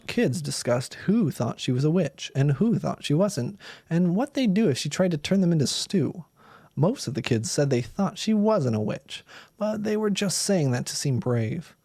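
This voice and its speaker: A man's voice: on the high side, mellow, slightly rough, no room sound. A man narrating a story aloud as a storyteller, reading expressively. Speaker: storyteller, streamer.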